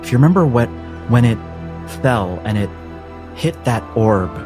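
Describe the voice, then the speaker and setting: A man narrating as game master in a dramatic, measured, suspenseful style with long pauses. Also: medium pitch, lively intonation. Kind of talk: dramatic second-person tabletop narration. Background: background music.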